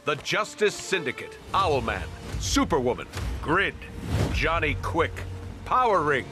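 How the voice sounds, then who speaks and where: An actor playing a grandiose, self-important superhero team leader in a video game, announcing pompously. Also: male voice, a little high, very animated, a little slow. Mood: grand, proud. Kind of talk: video game cutscene acting. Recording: music behind.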